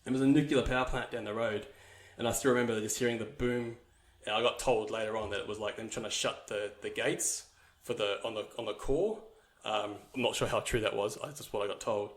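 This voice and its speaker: A man's voice: lowish voice, steady tone, a little fast. A young man from New Zealand, a podcast guest, telling his life story in a casual, rambling way with many fillers and restarts.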